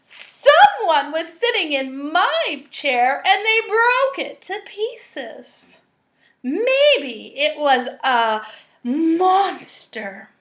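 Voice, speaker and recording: A woman doing an excitable little bear cub. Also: very high-pitched, drawn-out words, pitched above the performer's own voice, full character voice. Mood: excited. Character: small excitable young male bear cub.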